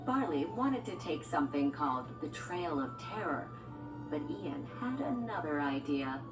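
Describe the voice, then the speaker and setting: Echoey low-pitched woman reading a storybook. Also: big pitch swings, a little slow. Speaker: storyteller narrator. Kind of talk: scripted storybook narration. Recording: echoey, distant, music bed. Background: music and sound effects.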